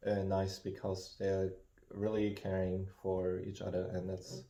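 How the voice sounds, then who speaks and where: Deep-voiced man in a roomy space. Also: monotone, dark tone. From Germany. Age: 30s. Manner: halting, reflective, speaks in restarts. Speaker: co-host. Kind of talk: casual chat. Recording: roomy echo.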